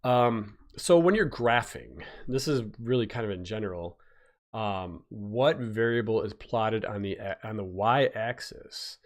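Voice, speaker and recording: A man's voice: fairly low pitch, lively intonation, unhurried. A man who is a physics teacher, giving a casual live-streamed exam review while stuffy from a cold, rambling with fillers and restarts. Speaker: high school physics teacher. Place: home, recording a YouTube live stream.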